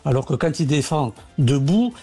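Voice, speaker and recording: Halting man, mellow voice. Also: on the high side, big pitch swings, slightly rough, soft background music. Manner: halting, many restarts and fillers. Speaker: former footballer, pundit. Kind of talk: radio debate.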